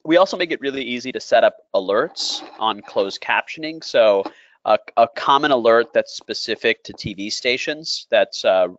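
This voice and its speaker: A man giving an unscripted sales presentation as a company presenter, explaining in a halting way and repeating phrases. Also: mid-range voice, animated, fairly bright tone. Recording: dull, lo-fi audio in an echoey room.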